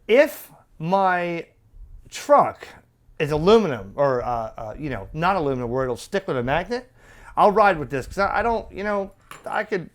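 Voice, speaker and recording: A man giving an unscripted product demo as a radio hobbyist, rambling in a folksy way with bits of trucker slang. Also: on the high side. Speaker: radio hobbyist reviewing gear for viewers.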